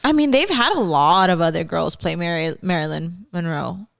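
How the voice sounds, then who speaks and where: A woman's voice: fairly low pitch, animated, normal speed, creaky. A young woman with a Texan accent, chatting as a podcast co-host, hesitating with "um" and restarts. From Texas. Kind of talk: casual chat.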